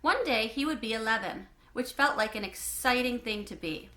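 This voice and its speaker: A woman narrating a children's book in an expressive, animated way, with clear phrasing. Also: a little high, normal speed, echoey room. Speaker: read-aloud host.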